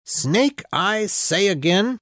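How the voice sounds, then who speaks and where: A woman voicing a fretful, suspicious male pigeon in a storybook reading, with a shrill, accusing, stubborn manner. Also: expressive, slow, a bit bright, dry recording, clean studio sound.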